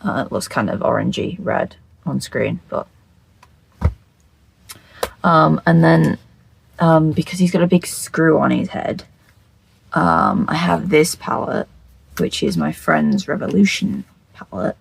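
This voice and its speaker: Husky just-woken croak, mid-pitched, rambling makeup vlogger, casual, scatterbrained, sweary, repeats phrases. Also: very animated, slow, long pauses, low-quality recording. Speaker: makeup video creator. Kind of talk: casual rambling chat to camera.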